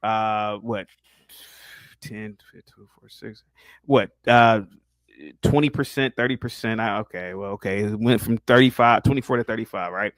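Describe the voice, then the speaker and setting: A man's voice: on the low side, steady tone, unhurried, a bit bright, no room sound. A man from Ohio hosting a casual debate stream, reading articles aloud and teasing his guest in a mocking tone. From Ohio, United States. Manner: mocking, teasing, reads text aloud and comments. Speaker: stream host.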